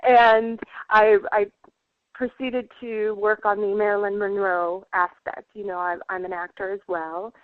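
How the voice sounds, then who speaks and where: A woman's voice: mid-range voice, dry recording. A woman from Puerto Rico, a guest healer on a radio interview, talking in an earnest, reflective way with many fillers. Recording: tinny phone line.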